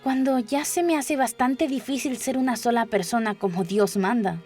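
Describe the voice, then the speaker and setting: Woman voicing high-pitched curious little Alice. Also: normal speed, darkish tone, soft background music, lightly acted. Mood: rueful. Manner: musing, self-scolding. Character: curious little girl Alice, thinking aloud to herself. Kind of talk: storybook character voice.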